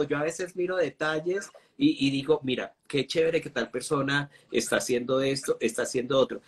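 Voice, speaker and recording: Husky young host. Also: male voice, mid-range voice. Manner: relaxed, hesitant with many fillers. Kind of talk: casual live interview. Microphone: phone camera close up.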